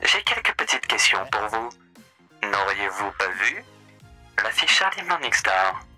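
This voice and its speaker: Bright-voiced man in theatrical roleplay. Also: fairly low pitch, sing-song, measured pace, soft background music. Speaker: roleplay player as hotel host. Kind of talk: in-character roleplay chat.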